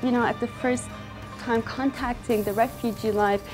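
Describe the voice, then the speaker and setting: A woman's voice: fairly high pitch, steady tone, moderate pace. Earnest young woman, faint music behind. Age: young adult. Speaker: fashion brand founder. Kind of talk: interview monologue.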